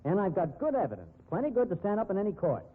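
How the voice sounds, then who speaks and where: Hard-boiled male investigator, cynical, rough-edged 1950s radio delivery with emphatic swings in pitch. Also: wildly swooping pitch, quick pace, full character voice. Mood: accusing, bullying. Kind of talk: 1950s radio drama acting. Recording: muffled, dull 1950s radio recording.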